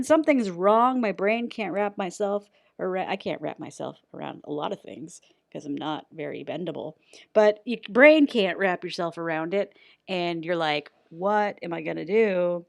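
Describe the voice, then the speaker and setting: A chatty woman with a slightly low voice walks through a tutorial casually and informally. She has a playful, self-deprecating sense of humor, and the recording is close and dry with very little room sound. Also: expressive, moderate pace, gravelly, no echo. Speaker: voice actor giving an audio editing tutorial.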